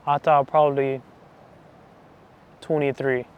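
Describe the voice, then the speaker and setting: A man in his twenties giving a personal faith testimony, talking softly and hesitantly with many restarts. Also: mid-range voice, level tone, takes it slowly, clean tone, muffled recording. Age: 20s. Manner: soft, hesitant, full of restarts and fillers. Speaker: channel host giving testimony.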